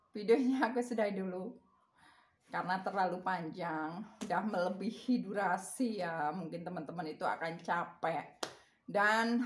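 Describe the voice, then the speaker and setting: A woman's voice: mid-range voice, echoey room. A woman with a Javanese accent, chatting casually to her viewers in a rambling way and laughing often. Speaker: vlogger.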